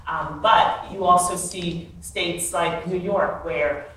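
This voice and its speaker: A woman with a very low voice speaks earnestly on a conference panel, pausing on fillers. She is picked up from far off in a cavernous hall, with faint noise behind her. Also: fairly even intonation, a bit bright. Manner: earnest, many fillers and restarts. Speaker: panelist, attorney. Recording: echoey hall, mic from afar.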